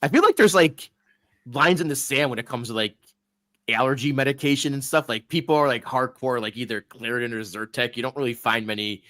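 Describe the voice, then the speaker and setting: A man's voice: medium pitch, fairly quick, bright, forceful tone, dry recording. A man hosting a casual sports podcast, stuffy and nasal from allergies, talking in a loose, rambling way. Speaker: podcast host.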